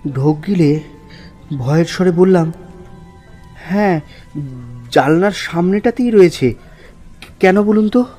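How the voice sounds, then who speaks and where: A man's voice: sits high, lively intonation, unhurried, pauses often, warm tone. A man playing an anxious husband who narrates his own haunting in a horror audio drama, uneasy and dramatic. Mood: frightened. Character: anxious married male narrator, haunted follower of the tantric. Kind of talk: first-person horror story narration with acted dialogue. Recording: music under the voice.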